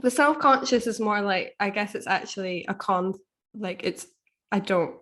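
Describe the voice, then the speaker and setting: A woman speaking casually as a guest author, halting and searching for her words. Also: medium pitch, a bit bright. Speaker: guest author being coached. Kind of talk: casual conversation.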